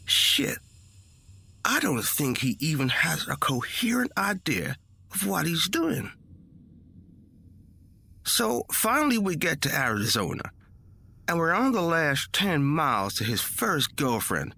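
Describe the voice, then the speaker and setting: A man's voice: medium pitch, creaky, sharp tone. A man reading a first-person story in a dramatic, conversational, emphatic storytelling style. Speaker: story reader. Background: music.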